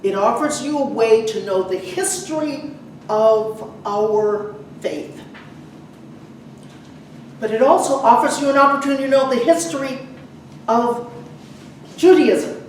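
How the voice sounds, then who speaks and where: A woman preacher addressing a church congregation, speaking deliberately in short phrases. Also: fairly high pitch. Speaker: preacher leading a church service. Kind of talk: sermon with scripture introductions. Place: indoor church service. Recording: echoey church, distant mic, faint noise.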